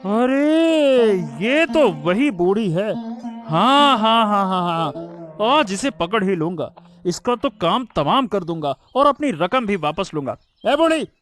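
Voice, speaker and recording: A man's voice: high voice, big pitch swings, slow pace, a bit bright, faint music behind, full character voice. A man playing a greedy, cowardly minister in a cartoon story, flustered and repeating his words nervously. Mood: gloating, menacing.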